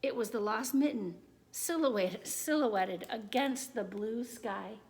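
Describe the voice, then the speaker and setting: A woman reading a children's picture book aloud in an expressive, measured storytelling manner. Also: on the high side. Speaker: storybook reader.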